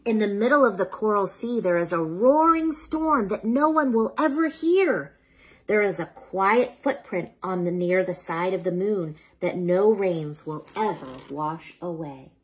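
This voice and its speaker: A woman reading a children's bedtime story aloud in an unhurried, expressive way, as if speaking to a child, with a slightly husky voice. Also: mid-range voice, low-quality recording.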